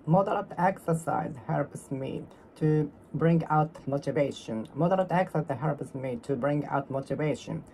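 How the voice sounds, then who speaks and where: A speaker from Japan with a Japanese accent, practicing English alone, repeating and reworking each sentence in a careful, halting way. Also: medium pitch, creaky, darkish tone, a little hiss or hum, poor audio. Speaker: English learner. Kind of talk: solo speaking practice, talking to oneself.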